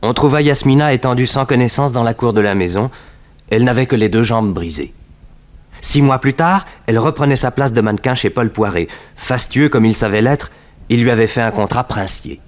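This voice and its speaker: Casting: male actor as récitant for 1960s crime radio play, middle register, composed, measured, literary. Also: medium pitch, lightly acted. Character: composed male storyteller of a detective serial. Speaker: narrator. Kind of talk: scripted 1960s French radio drama narration.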